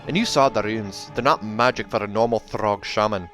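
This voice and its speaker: A man voicing a gruff, suspicious dwarf warrior in a storybook, terse and blunt, with a put-on accent. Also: fairly low pitch, normal speed, a bit bright, faint music behind, lightly acted. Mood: suspicious, grumbling.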